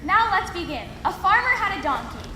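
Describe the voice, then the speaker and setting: Very high-voiced woman narrating onstage. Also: very animated, brisk. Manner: presentational, audience-addressing. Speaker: stage narrator. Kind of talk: scripted children's storytelling narration. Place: large hall, live stage show. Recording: echoey hall, background noise.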